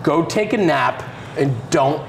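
A man's voice: a little high, expressive, slow pace. A middle-aged man in casual comedic banter, teasing and questioning with mock seriousness as he co-hosts. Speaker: co-host reading viewer comments. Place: indoor garage workshop. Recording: clear background noise in a workshop.

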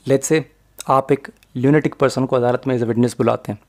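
A man's voice: mid-range voice, steady tone, moderate pace. A man with a Pakistani Urdu accent giving a slide lecture on evidence law, mixing in English legal terms as he explains step by step.

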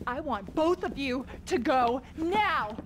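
A woman's voice: high-pitched, animated, a little hiss or hum, poor audio. An actress playing an indignant, controlling professional woman in a video game, strident and drawing out her words. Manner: strident, drawn-out indignant delivery. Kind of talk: video game cutscene acting. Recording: loud music over game dialogue.

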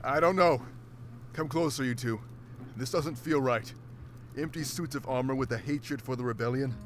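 A man's voice: mid-range voice, moderate pace, slight background noise, natural voice. An actor playing a solemn, protective male beast warrior in a fantasy game, grave and earnest. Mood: troubled.

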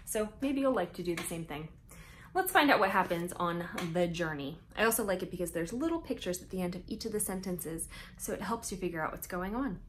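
A woman in her thirties reads a picture book aloud to young children as host, with expressive, drawn-out emphasis, recorded indoors on a camera mic. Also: on the low side, slight background noise.

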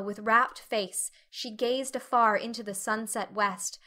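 A woman reading as an audiobook narrator, giving expressive, carefully phrased literary narration in a measured manner. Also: medium pitch.

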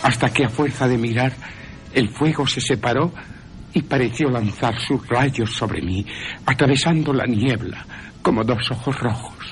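A man's voice: mid-range voice, unhurried, slight background noise, pitched above the performer's own voice, close to an everyday voice. A man voicing a frightened young woman who recounts a nightmare in an anguished, dramatic way. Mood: anguished, fearful.

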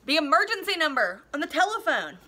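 A woman's voice: high-pitched, sing-song, fairly quick, bright, forceful tone, voice pushed up, exaggerated voice. A woman voicing a sceptical male police officer in a storybook, questioning and disbelieving. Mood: stern.

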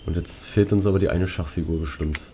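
A man's voice: low voice, steady tone, quick pace, mellow, a little hiss or hum. A young man giving casual gameplay commentary, slangy and muttering to himself. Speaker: let's player.

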